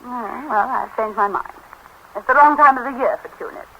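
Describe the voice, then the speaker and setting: An actress playing a flighty, self-dramatizing, worldly English wife with a theatrical, flippant manner, in a 1930s radio comedy style. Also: female voice, on the high side, normal speed, sharp tone, rough recording. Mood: self-pitying. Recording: hissy 1930s radio broadcast.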